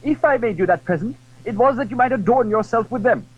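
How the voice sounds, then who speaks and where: A man's voice: very high voice, lively intonation, brisk, voice pushed up. A man voicing a displeased, haughty king in a period audio drama, pompous and reproachful. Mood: scolding. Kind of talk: period radio drama acting. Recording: dull, hissy old audio drama recording.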